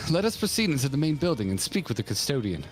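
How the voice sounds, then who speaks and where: A man's voice: medium pitch, very animated, fast, lightly acted. A man reading as an earnest young scholar. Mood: resolute.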